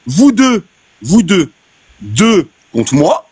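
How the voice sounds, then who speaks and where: A man's voice: high voice, very animated, very slow, a little mellow, husky, no room sound. A man trash-talking aggressively on a live video call, challenging others to a fight. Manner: aggressive, provoking, insulting. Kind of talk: heated trash talk on a live stream.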